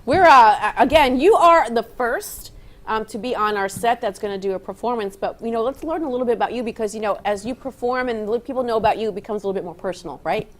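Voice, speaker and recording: A woman's voice: fairly high pitch, fast talker. A woman hosting a studio talk show, upbeat and chatty, laughing often as she asks rambling questions. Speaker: host. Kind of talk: casual studio chat. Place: radio and TV studio.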